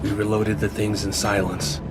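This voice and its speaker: Low-pitched man narrating a horror radio play. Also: little pitch movement, moderate pace. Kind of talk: scripted first-person horror narration. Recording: prominent music under the voice.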